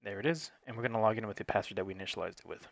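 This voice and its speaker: Low-voiced man walking through a technical tutorial. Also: racing, creaky, no room sound. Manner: matter-of-fact, instructional. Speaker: technical support presenter. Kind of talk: unscripted step-by-step tutorial narration.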